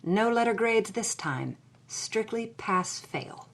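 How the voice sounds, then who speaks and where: A woman's voice: medium pitch, lively intonation, slow pace. An actress playing a hard-boiled young woman who recounts her past in a wry, anguished audio drama narration. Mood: wry, resigned.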